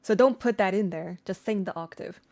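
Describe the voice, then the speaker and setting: A woman's voice: mid-range voice, moderate pace, dark tone. Casual, chatty woman teaching on a livestream. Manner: informal, chatty, slangy. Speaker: streamer and singer teaching vocal layering. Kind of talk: casual livestream tutorial with chat replies.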